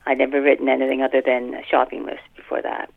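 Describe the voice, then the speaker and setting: A woman's voice: extremely low pitch, monotone, fast talker, creaky. A woman chatting casually as an interview guest, exclamatory and colloquial, repeating phrases for emphasis. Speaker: guest author. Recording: muffled phone or radio-like line.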